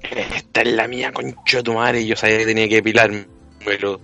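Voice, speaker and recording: A man's voice: on the low side, flat delivery, fairly quick, vocal fry, pushed and bright, faint music behind. A man voicing a lecherous, foul-mouthed young thug fresh from prison, with slangy Chilean speech, in an improvised comedy radio play. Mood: crude, incredulous.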